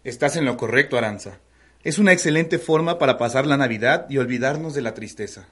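A man playing an affectionate, earnest gentleman husband in a radio soap, with a Mexican accent. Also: mid-range voice, a little fast, husky, natural voice. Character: devoted, tender husband, well-off gentleman. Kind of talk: radio soap opera acting.

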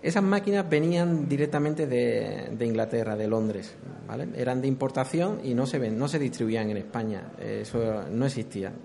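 A middle-aged man with an accent from Spain, telling anecdotes conversationally as an interviewee, explaining in halting, repeated phrases. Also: medium pitch, gravelly, a crowd in the background. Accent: Spanish from Spain. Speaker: interviewee, former video game programmer.

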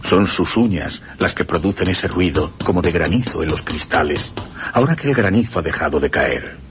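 Deep expressive male radio narrator. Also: normal speed, background noise.

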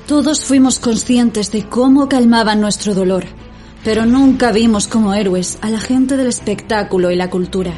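A woman's voice: fairly high pitch, normal speed, dark tone, soft background music, natural voice. A woman playing an opinionated fictional character in an audio drama. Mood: solemn, earnest. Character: opinionated female fictional character, partner of her writer.

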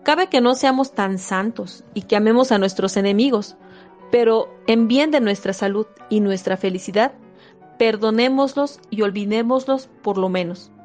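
A woman giving a steady, measured reading of a self-help book, with a few small slips and restarts. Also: medium pitch, faint music behind. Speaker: audiobook reader.

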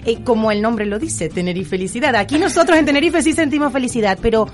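A woman hosting a radio show, chatting directly with listeners and guests in a lively conversational way. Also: fairly high pitch, fast. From Venezuela. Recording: music behind the voice. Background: music.

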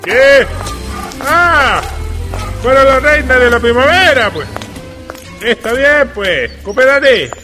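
An actor playing a good-natured older man who buys raffle votes, talking slowly in an easy drawl. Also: very high-pitched, animated, bright, forceful tone, big-room sound, soft background music, heavily acted. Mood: obliging. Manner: slow, drawling, easygoing.

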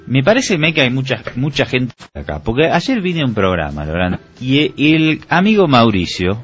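A man's voice: medium pitch, very animated, normal speed, a bit bright, breathy edge. A man in his thirties with an Argentine accent, co-hosting a radio show in a casual, joking, opinionated way. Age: 30s. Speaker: radio co-host. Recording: dull lo-fi radio with faint music.

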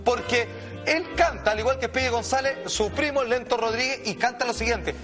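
A man with a Chilean accent giving animated, slangy, emphatic commentary, speaking straight to his listeners. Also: very high voice, moderate pace, faint music behind. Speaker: talk show commentator.

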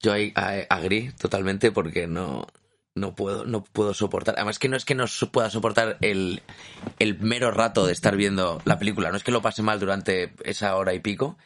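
A man's voice: fairly low pitch, steady tone, fairly quick, a bit bright. A man in his thirties from Madrid with a Madrid accent, co-hosting a comedy podcast with sarcastic, crude asides. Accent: Madrid Spanish. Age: 30s. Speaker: podcast co-host. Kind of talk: casual comedic banter.

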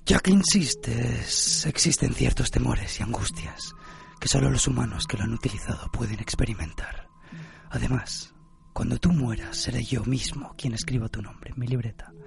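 A man's voice: mid-range voice, moderate pace, gravelly. A man playing an amused, taunting spirit of death in an anime radio drama, with a theatrical, mocking manner. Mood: mocking, eerie.

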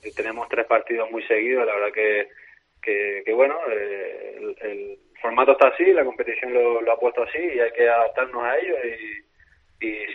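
A man with a softened Canarian Spanish accent, a footballer speaking in a phone interview, hesitant and repeating words with many fillers. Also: medium pitch, fairly even intonation, normal speed. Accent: Canarian Spanish, a little softened. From Canary Islands. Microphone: telephone. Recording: tinny phone line.

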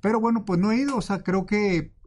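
Un hombre de la Ciudad de México con acento mexicano, entrevistado como director de una junta de aguas, que explica con calma y se ríe con facilidad. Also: male voice, sits high, brisk, noisy background. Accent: Mexican Spanish. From Mexico City. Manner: relaxed, explains at length, laughs easily. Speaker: guest, water utility director. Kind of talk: casual interview.

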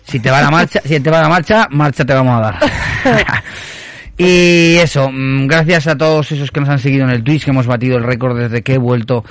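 A man's voice: mid-range voice, moderate pace, fairly bright tone. A man hosting a casual late-night radio chat, often stammering and repeating words as he talks, laughing easily. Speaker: radio host.

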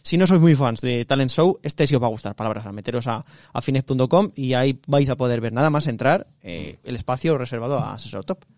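Spanish dude cohosting, talks fast, dry jokes, no room sound. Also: male voice, medium pitch, a little mellow, dry recording. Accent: Spanish from Spain. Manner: chatty, colloquial, wry humour. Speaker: podcast co-host. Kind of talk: casual chat.

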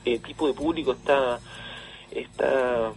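A Chilean man being interviewed on radio, explaining things conversationally with halting, repeated words. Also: medium pitch, steady tone, vocal fry, poor audio. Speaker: interview guest, event organiser. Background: background music.

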